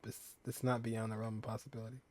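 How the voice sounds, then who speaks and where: A man's voice: lowish voice, level tone, fast talker, a little mellow. A man giving casual, joking commentary as a streamer, reacting aloud while he plays a video game. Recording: faint game music behind. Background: game music.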